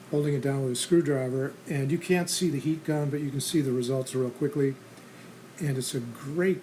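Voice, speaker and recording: A man's voice: medium pitch, mellow, low-quality recording. A man giving casual, practical how-to narration as the host of a restoration channel, in a plain instructional manner. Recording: clear background noise and ambience.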